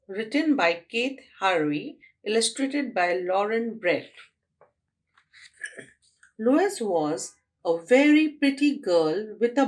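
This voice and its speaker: Female children's storyteller and teacher: highish pitch, unhurried, expressive read-aloud. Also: rough recording. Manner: addresses small children, deliberate expressive phrasing. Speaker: storytelling teacher at a day care.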